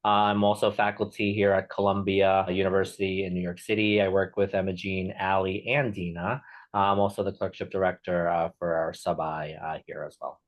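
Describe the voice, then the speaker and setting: A man's voice: deep voice, flat delivery, brisk. A man who is a clerkship director, speaking politely with some hesitations on a webinar panel. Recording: slightly dull audio.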